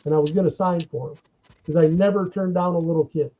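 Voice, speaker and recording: High-voiced man telling stories casually. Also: quick pace, slight background noise, low-quality recording. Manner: animated anecdotes, laughs while telling stories. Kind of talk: casual chat, storytelling.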